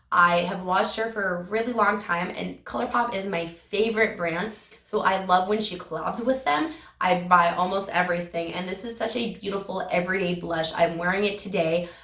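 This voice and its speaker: Hoarse woman gushing over makeup. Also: medium pitch, fairly bright tone, far from the mic. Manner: enthusiastic, gushing, slows down to stress favorite words. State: hoarse from a cold, deeper and raspy. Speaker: beauty vlogger. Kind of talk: casual product review. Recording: echoey room, voice a bit off-mic.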